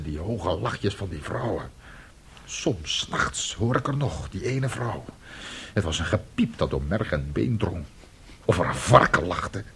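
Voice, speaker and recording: Husky, brooding old music teacher. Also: male voice, lowish voice, heavily acted. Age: middle-aged. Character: embittered, disgraced former music teacher, a brooding family man. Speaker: actor. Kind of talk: radio drama acting.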